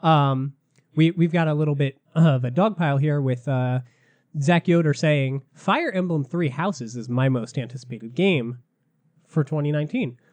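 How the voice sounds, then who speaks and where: Man with a fairly high voice, slightly raspy from being sick, chatty podcast host. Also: moderate pace, a little mellow. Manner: chatty, loose, laughs often. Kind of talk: casual gaming podcast chat.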